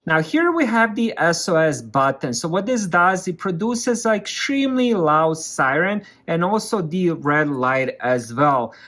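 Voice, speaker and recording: A man's voice: fairly high pitch, fairly bright tone. A man giving a casual hands-on product review, explaining things plainly step by step.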